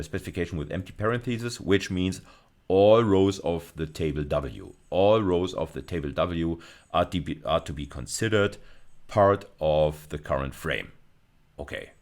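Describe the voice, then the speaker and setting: A man with an exceptionally deep voice delivers an instructional lecture. He is deliberate and emphatic, often repeating a phrase or rewording it as he explains. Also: animated. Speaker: university lecturer.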